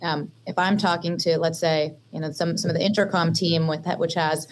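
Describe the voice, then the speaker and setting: Low-voiced Californian woman on a panel. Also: steady tone, slight background noise. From western United States. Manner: measured, filler-heavy. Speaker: investor panelist. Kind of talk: panel discussion.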